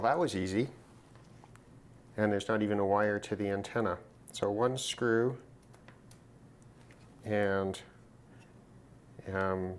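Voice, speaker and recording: Low-voiced man thinking aloud, noisy recording. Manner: thinking aloud, halting, musing to himself. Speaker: host of a hobby radio repair video. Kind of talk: casual unscripted hands-on repair commentary. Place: at a desk, indoors. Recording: clear background noise, handling clicks.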